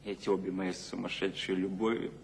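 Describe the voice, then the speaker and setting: An actor playing a weary, melancholy man with a creaky voice in a Soviet radio drama. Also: low-pitched, level tone, a little fast, slight background noise. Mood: sorrowful. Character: weary, melancholy man confessing lifelong love.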